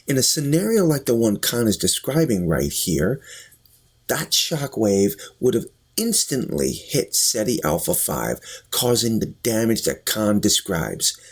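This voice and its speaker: A man with a husky voice giving an earnest, deliberate explanatory review and commentary, as a vlogger. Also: mid-range voice. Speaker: YouTube reviewer. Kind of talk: talk-to-camera review and commentary.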